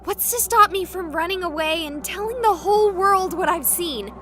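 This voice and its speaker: A woman's voice: very high-pitched, normal speed, very noisy. An actress voicing a young woman streamer, skeptical and sarcastic but rattled by a haunting. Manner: sarcastic, flustered. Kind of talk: visual novel voice acting.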